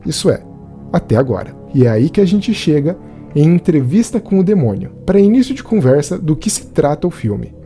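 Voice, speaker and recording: A man's voice: a little high, very animated, fairly quick, mellow, soft background music. A man with a Brazilian accent giving a conversational scripted narration as a film reviewer. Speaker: video essayist and film reviewer.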